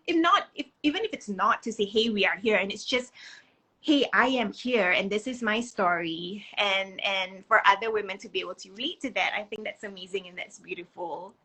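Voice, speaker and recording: A woman from Singapore speaks as an interview host with a strikingly bright, clear-cut tone. Her delivery is conversational and effusive, leaning on repeated words for emphasis. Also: medium pitch, rough recording.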